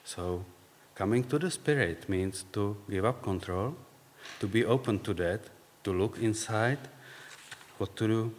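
A man with a Czech accent, speaking English as a second language, gives a reflective personal faith talk in halting, searching phrases. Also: lowish voice, slight background noise. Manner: halting, searching for words, non-native phrasing. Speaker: speaker sharing faith story. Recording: long reverb, hall-like.

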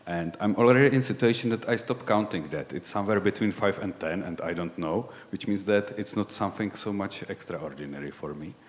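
Deep-voiced czech guy in his 40s preaching, halting and searching for words, big echoey church hall. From Czech Republic. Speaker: lay preacher. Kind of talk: sermon.

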